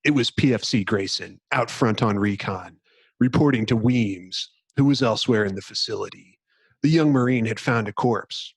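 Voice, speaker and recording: A man's voice: on the low side, normal speed, husky, no echo. Male podcast host with a very dry sound. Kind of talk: casual chat with dramatic book reading.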